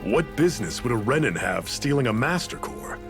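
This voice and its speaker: An actor playing a seasoned, fatherly rebel leader in a video game, with a relaxed, folksy, mentoring way of talking. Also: medium pitch, moderate pace, vocal fry, music in the background. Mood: wry, explaining.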